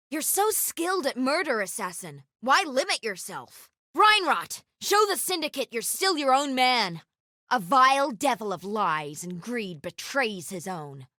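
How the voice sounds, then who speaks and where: An actress playing a stern, vengeful interrogator in a video game, clipped, commanding and threatening. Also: female voice, sits high, sharp tone, no room sound, clean studio sound. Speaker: game character voice.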